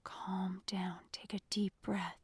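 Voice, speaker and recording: A woman voicing a protective young male agent in an audiobook, speaking in a calm, urgent, commanding whisper. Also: mid-range voice, unhurried, breathy edge, no room sound, voice pushed down. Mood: urgent, reassuring. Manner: hushed, reassuring, commanding whisper. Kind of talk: audiobook character dialogue.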